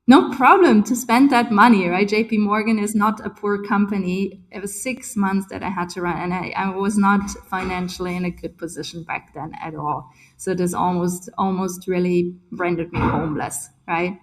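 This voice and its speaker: A woman in her forties speaking on a video call in casual chat, passionate and emphatic, often tagging "right?" onto her points. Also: medium pitch. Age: 40s. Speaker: guest speaker on a community call.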